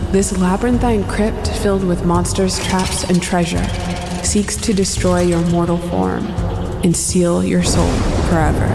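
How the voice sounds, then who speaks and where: A woman, a dungeon master, narrating a podcast promo with a storybook cadence. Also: lowish voice. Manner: storybook cadence, then plain introductions. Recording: music under the voice.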